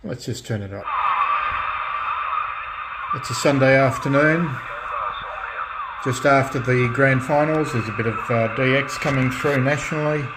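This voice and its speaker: Male hobbyist restorer, casual demo chat, halting delivery, mid-pitched voice, noticeable radio noise behind. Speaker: radio restorer and video host. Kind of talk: casual hands-on demonstration chat.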